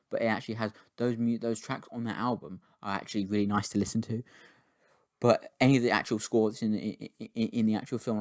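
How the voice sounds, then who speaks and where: A man's voice: lowish voice, dry recording, studio quality. A man giving a casual spoken film review, rambling and chatty, with many restarts and casual swearing.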